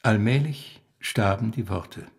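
Male narrator for literary essay: slow, measured, creaky low voice. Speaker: narrator reading literary prose.

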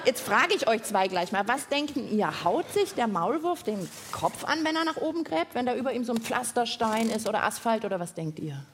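A woman's voice: a little high, animated, brisk, hardly any pauses, slight background noise. A woman hosting a live children's science show, asking lots of questions in simple, playful everyday words. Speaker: host of a children's science podcast. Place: live on stage at a podcast festival with audience.

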